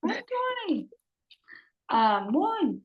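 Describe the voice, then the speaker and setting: A woman's voice: a little high, sing-song, extremely slow, phone-quality sound. A woman in her early twenties with an American accent chats casually as a podcast co-host, talking with a close friend. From United States. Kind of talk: casual chat between friends. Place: remote call from an echoey indoor room. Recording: roomy, echoey video call audio.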